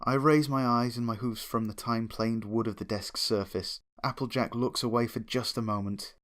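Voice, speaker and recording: A man's voice: mid-range voice, steady tone, moderate pace, fairly bright tone. A man reading a story aloud as a dramatic, scripted first-person narration. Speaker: fan fiction reader, narrator.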